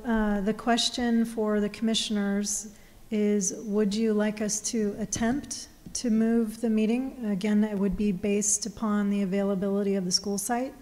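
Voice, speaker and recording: A woman's voice: medium pitch, mellow. A woman on city staff, briefing a public meeting, hesitant with many fillers and restarts, her voice worn. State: losing her voice. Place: council meeting room. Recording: distant reverberant hall, faint noise.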